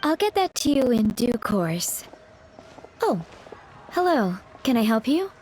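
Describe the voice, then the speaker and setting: An actress playing a composed, discreet funeral parlor attendant in a fantasy video game, with a measured, formal, professional manner. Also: female voice, fairly high pitch, big pitch swings, noisy background. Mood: composed, courteous. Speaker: voice actor. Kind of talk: scripted video game dialogue.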